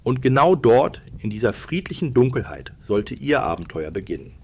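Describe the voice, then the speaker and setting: A man's voice: mid-range voice, big pitch swings, moderate pace, darkish tone, a little hiss or hum. A man narrating a scripted bedtime story for children, explaining things and addressing them directly.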